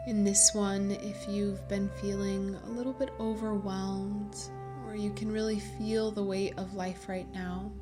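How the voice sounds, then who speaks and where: A woman leading a guided meditation in a gentle, soothing manner, with pauses between phrases. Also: medium pitch. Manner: slow, gentle, soothing delivery with long pauses.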